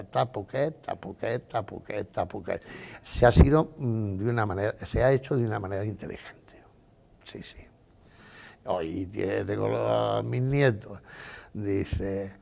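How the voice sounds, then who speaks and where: An older man with a very low, gravelly voice and a husky edge, speaking slowly. He rambles through his answers in a casual interview, often quoting himself and others. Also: warm tone. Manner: rambling, quotes himself with repeated "dice". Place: exhibition hall.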